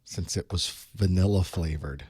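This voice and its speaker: Hoarse man chatting before a stream. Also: deep voice, measured pace, darkish tone, a little hiss or hum. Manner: chatty, wry, talks to himself while setting up. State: hoarse from a cold. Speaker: online course instructor. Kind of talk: casual pre-show chat to a live stream. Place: small studio desk.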